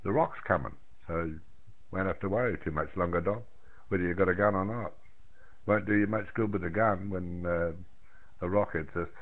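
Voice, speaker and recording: A man's voice: low-pitched, moderate pace, pauses often. A man chatting casually over the radio in a blunt, folksy, colloquial way, rambling along. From outside the United States. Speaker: amateur radio operator chatting with friends. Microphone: radio microphone over internet link. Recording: narrow, tinny phone-like radio link.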